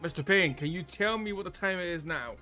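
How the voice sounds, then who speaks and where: A man's voice: high-pitched, brisk, bright, forceful tone, soft background music. A man voicing an earnest male defense lawyer in a courtroom video game, with a dramatic, self-questioning manner. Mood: confident.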